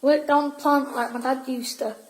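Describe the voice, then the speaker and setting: A woman's voice: high-pitched, fairly even intonation, moderate pace, close to an everyday voice. A woman playing an anxious, gloomy but loyal schoolboy in an audio drama, with a worried, doleful manner. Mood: puzzled.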